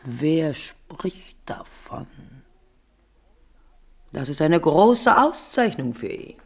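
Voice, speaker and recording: A calculating royal woman, low voice, smooth, persuasive, mocking, radio drama. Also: sing-song, extremely slow, exaggerated voice. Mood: scheming. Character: scheming queen mother, cool, calculating woman. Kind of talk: 1970s radio drama acting.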